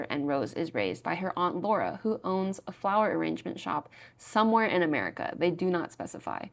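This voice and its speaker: A woman's voice: deep voice, gravelly. A woman recapping a book and its drama adaptation in a chatty, casual way, often saying "like" and acting out short quoted lines. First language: not Thai. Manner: chatty, peppered with "like", acts out quick quoted lines.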